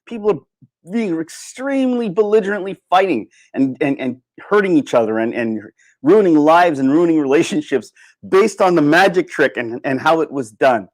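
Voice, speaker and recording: A man's voice: fairly high pitch, lively intonation, normal speed. A man giving an impromptu opinion monologue as a livestream host, emphatic and repetitive, often restarting his sentences. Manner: emphatic, rhetorical questions.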